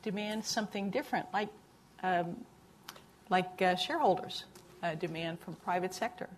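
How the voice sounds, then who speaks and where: A woman's voice: fairly low pitch, normal speed, a little hiss or hum. A woman answering as a panelist, earnest and thoughtful, often repeating words as she builds her point.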